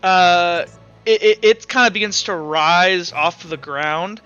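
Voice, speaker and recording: A man narrating a tabletop roleplaying game as game master in an animated, casual, profane way. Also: sits high, a little slow, smooth, dull audio, soft background music.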